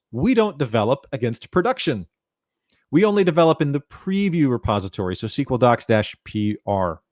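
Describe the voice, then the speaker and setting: A man in his thirties presents technical material with a mid-range voice. His delivery is measured and instructional, like a presenter walking through a process step by step. The sound is dry, captured on a headset in a small room. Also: mid-range voice, a little mellow, dry recording. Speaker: presenter, documentation content developer. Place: small indoor room, home video call.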